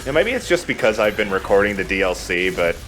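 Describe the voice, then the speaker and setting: A man's voice: fairly low pitch, fast talker, low-quality recording. A man, a young adult, hosting a Let's Play with casual, rambling, jokey game commentary. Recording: clear steady background noise.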